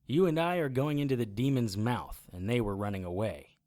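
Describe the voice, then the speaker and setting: A man plays a seasoned occult adventurer in an audio drama. He sounds mid-pitched, brisk and commanding, laying things out in a matter-of-fact, instructive way. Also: darkish tone, close to an everyday voice. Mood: brisk, instructing. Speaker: lead actor and narrator. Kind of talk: audio drama acting and first-person narration.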